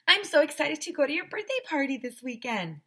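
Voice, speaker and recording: A woman's voice: high voice, sing-song, brisk, a bit bright, voice pushed up, exaggerated voice. A woman voicing an eager, gushing young schoolgirl from a storybook.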